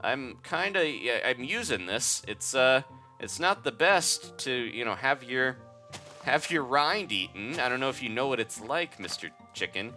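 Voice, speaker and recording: A man's voice: medium pitch, lively intonation, measured pace, bright, forceful tone, faint music behind. Man voicing a hesitant talking watermelon. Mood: reluctant. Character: lonely young orange watermelon, gentle.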